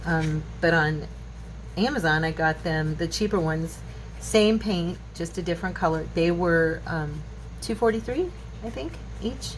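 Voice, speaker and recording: A woman's voice: fairly low pitch, a little slow. A woman in her fifties chatting casually through a craft tutorial, rambling and musing aloud as she works indoors. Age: 50s. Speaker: craft channel host. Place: indoor craft table at home. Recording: clearly noisy home recording.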